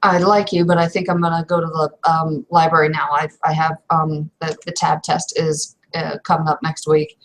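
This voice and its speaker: A woman playing an awkward, evasive young werewolf in a tabletop roleplay, with halting, slangy, stammering speech. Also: deep voice, level tone, pitched below the performer's own voice, poor audio.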